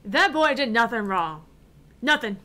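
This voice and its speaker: A woman's voice: on the high side, very animated, a little slow, pushed and bright, heavily acted. A woman voicing a brash, fiery young female gamer with a theatrical, foul-mouthed manner, reading out a visual novel game. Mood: furious, indignant.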